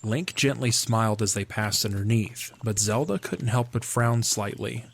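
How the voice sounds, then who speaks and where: A man's voice: fairly low pitch, normal speed, vocal fry. A man reading scripted story narration as the narrator of an audio drama.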